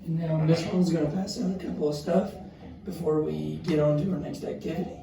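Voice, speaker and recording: A man's voice: on the high side, fairly even intonation, a little fast, gravelly, noisy background. A young man teaching as a student teacher, giving casual instructions in a classroom. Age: young adult. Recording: very echoey room, distant mic.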